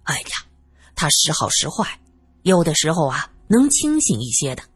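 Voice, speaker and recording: A woman narrator voices a calm, wry man in an audiobook drama. He sounds dry and measured, on the low side, with a slightly husky grain. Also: dry recording, heavily acted. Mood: dry, curious. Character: wry, unruffled man, dryly amused observer.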